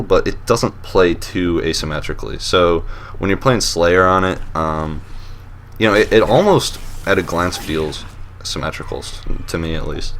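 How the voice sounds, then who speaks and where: Deep voiced guy hosting a chill gameplay voiceover, rambly with lots of uhs, dry self-mocking jokes, really low voice. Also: a little hiss or hum. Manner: relaxed and rambling, with many fillers and restarts; dry, joking self-mockery. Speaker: host of a map showcase series. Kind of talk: casual voice-over commentary on gameplay footage.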